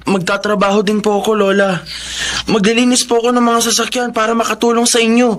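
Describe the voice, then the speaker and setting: A man's voice: sits high, little pitch movement, fast, a bit bright, faint music behind. A man playing an earnest, dutiful teenage older brother with a pleading manner in a radio drama. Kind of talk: Filipino radio drama acting.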